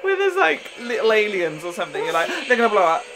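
A man's voice: high voice, huge pitch swings, fast. A young man chatting casually and gushingly as co-host of a reaction video. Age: young adult. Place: small furnished room at home, indoors.

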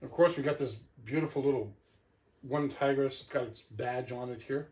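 A man's voice: mid-range voice, moderate pace, darkish tone. A middle-aged man giving a casual hands-on unboxing review, talking half to himself as he handles the gear in a living room. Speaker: product reviewer. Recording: clicks and clear background noise.

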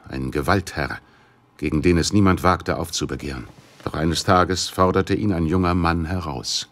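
An actor playing a middle-aged, worried businessman in an audio drama, speaking in a formal, measured way. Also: very deep voice. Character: grave, worried Chinese businessman, father of missing daughter. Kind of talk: German radio play dialogue.

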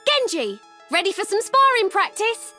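An actress playing a cheeky, upbeat young heroine in a video game, with a playful, teasing manner. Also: female voice, extremely high pitch, big pitch swings, normal speed, pushed and bright, soft background music, heavily acted. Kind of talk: scripted video game character lines.

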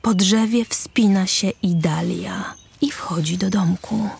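A woman's voice: mid-range voice, takes it slowly, creaky, darkish tone, slightly rough. A woman reading scripted narration for an audio drama, describing the action as narrator. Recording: music under the voice. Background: music.

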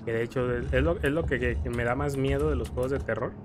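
A man's voice: medium pitch, steady tone, fast talker, background noise. A Mexican man giving casual, rambling gameplay commentary in slangy, playfully profane speech. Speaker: video game streamer. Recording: game music behind the voice. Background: video game music.

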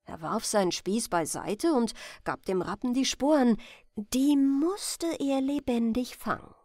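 A woman reading a bedtime fairy tale as storyteller, in a gentle, expressive manner. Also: on the high side, a little slow, no echo, studio quality.

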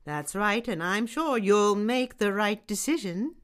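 A woman's voice: mid-range voice, lively intonation, a little slow, fairly bright tone, clean tone. A woman voicing a proud, competitive fish aunt in a cartoon, sugary yet insistent and haughty. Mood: pushy, sugary. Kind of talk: cartoon audio drama acting.

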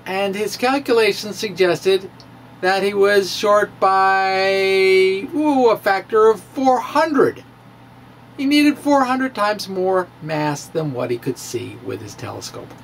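Middle-aged male science presenter, dramatic reader with very animated pitch, unhurried pacing and pointed pauses. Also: vocal fry, slight background noise. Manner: theatrical, drawn-out emphasis with pauses. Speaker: science blogger giving a dramatic reading. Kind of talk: theatrical reading of a popular science blog. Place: small room with bookshelves. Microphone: handheld-style microphone on a stand, close in front.

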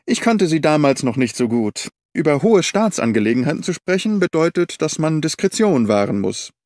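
A man's voice: on the high side, moderate pace, dry recording, clean studio sound, voice pushed up. Man doing a restless, sardonic detective. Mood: explaining, animated. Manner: animated, sardonic, declaiming. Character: restless, impatient middle-aged male detective. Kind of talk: audiobook character voice.

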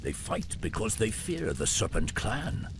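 An actor playing a grave, loyal warrior retainer in a video game, with a gravelly voice. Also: male voice, lowish voice, very animated, a little slow, noisy background. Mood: solemn. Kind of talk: dramatic game cutscene acting.

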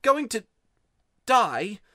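Narrator doing a wound-up young boy, pitched up, emphatic and aggrieved, swoopy, storybook. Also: big pitch swings, drawn-out words, bright, forceful tone, no room sound, clean studio sound, exaggerated voice. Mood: miserable, wound up. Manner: emphatic, aggrieved schoolboy talk. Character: miserable, wound-up, grubby young boy from the country. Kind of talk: children's audiobook character voice.

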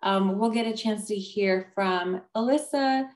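A woman's voice: mid-range voice, measured pace. A woman admissions officer introduces a webinar over a video call. She talks in a friendly, organized way, with frequent "um" fillers between points. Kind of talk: scripted webinar hosting.

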